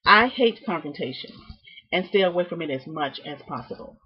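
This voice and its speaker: A woman giving a prepared student presentation, reading from notes with deliberate, emphatic phrasing and halting repeats. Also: on the low side, expressive, husky. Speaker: student presenting a class project. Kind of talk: prepared speech, read from notes. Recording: dull, muffled lo-fi sound.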